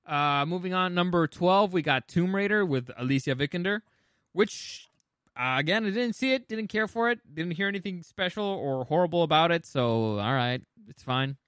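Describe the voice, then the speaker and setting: A man's voice: high voice, expressive, moderate pace, fairly bright tone, smooth, dry recording, studio quality. A man co-hosting a podcast in casual, informal chat, with some swearing. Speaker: podcast co-host. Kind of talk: casual podcast chat ranking video game movies.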